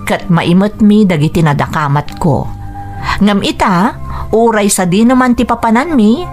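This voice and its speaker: A woman with an Ilocano accent reading a letter as a radio drama host, in scripted narration. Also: lowish voice.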